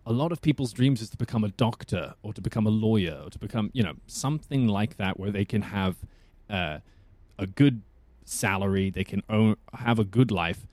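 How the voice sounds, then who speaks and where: Husky soothing-voiced South African man. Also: on the low side, normal speed, darkish tone, no echo. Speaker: actor and voice actor. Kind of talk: casual podcast chat.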